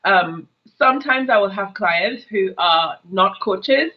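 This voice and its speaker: A woman's voice: medium pitch, lively intonation, slow, muffled recording. A woman in her thirties from Trinidad with a Trinidadian accent, a business coach chatting casually in an interview and telling stories with frequent laughter over an earphone headset. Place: home office with brick wall. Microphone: earphone headset on a video call.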